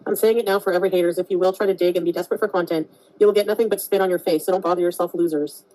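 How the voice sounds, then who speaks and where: A woman's voice: fairly low pitch, fairly even intonation, very fast, warm tone, poor audio. A woman talking casually to her followers in a vlog clip.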